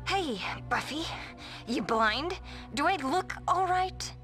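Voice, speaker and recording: A woman's voice: high-pitched, lively intonation, slow pace, a bit bright, soft background music, exaggerated voice. An actress playing a vain, sarcastic popular teenage girl in a video game, snippy and self-satisfied. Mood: indignant.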